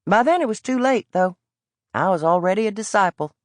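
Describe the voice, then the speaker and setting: A woman's voice: mid-range voice, animated, fairly quick, no room sound, voice pushed up, lightly acted. A woman voicing a scrappy, defiant young boy with a thick American Southern drawl, proud and prickly, in a dry studio read. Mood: prideful. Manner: proud and prickly, formal words.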